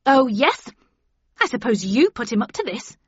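A woman voicing an angry, jealous middle-aged woman in an audiobook, shrill and scornful. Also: brisk, breathy edge, no room sound, muffled recording. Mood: scornful, accusing. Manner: shrill, spitting, rising indignation.

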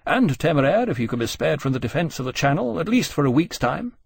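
A man voicing a self-assured, haughty admiral in an audiobook, brisk, formal and condescending. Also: a little high, sing-song, gravelly, husky, no echo, clean studio sound, pitched above the performer's own voice, full character voice. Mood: easy hauteur.

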